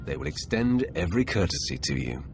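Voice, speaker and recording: A man's voice: very deep voice, big pitch swings, fairly quick, creaky, soft background music, full character voice. A man playing a cold, commanding Sith warrior in a video game, speaking in terse, menacing lines. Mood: firm, controlled.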